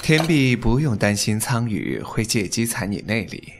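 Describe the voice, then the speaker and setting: A man playing a languid, commanding villain in a Chinese martial-arts radio drama, suave and unhurried. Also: lowish voice, big pitch swings, a little hiss or hum. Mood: languid menace.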